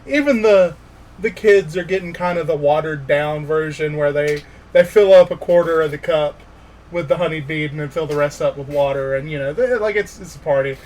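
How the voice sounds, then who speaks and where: A man working as game master, narrating a tabletop fantasy game in an informal, halting way with pauses. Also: on the high side, darkish tone, low-quality recording.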